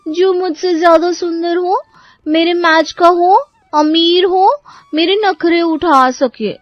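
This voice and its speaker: A woman's voice: very high voice, slow, no echo, lo-fi sound, soft background music. A woman voicing a picky, haughty young unmarried daughter in a cartoon story. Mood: dreamy, boastful. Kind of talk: Hindi cartoon story voice acting.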